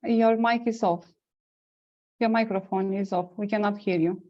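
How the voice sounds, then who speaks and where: A woman's voice: medium pitch, fairly even intonation, normal speed, highs cut off. A woman moderating an online roundtable, politely calling on the next speakers. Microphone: video call.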